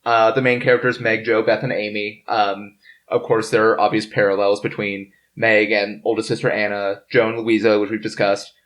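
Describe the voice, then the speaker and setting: A man's voice: fairly low pitch, flat delivery, a bit bright. Man hosting a casual podcast discussion. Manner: halting, informal delivery reading from notes. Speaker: podcast host. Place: in-person recording around a table.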